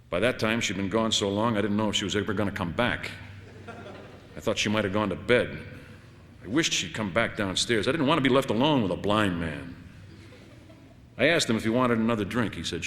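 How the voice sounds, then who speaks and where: A man's voice: on the low side, a bit bright. A man narrating a first-person short story in a wry, conversational, dramatic way. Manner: wry, conversational, dramatic delivery with shifts into dialogue. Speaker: audiobook narrator. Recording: long hall-like reverb.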